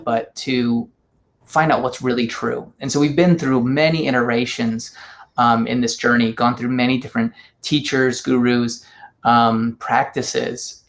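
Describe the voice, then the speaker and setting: A man's voice: mid-range voice, fairly bright tone. A man speaking reflectively in a casual interview, with some hesitations. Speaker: co-guest, spiritual teacher.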